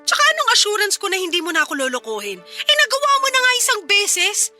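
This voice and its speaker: A woman's voice: very high-pitched, huge pitch swings, quick pace, bright, forceful tone, soft background music, exaggerated voice. A woman voicing a wounded, sarcastic fiancée scolding her cheating man in a Filipino-accented radio drama. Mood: distrustful, bitter.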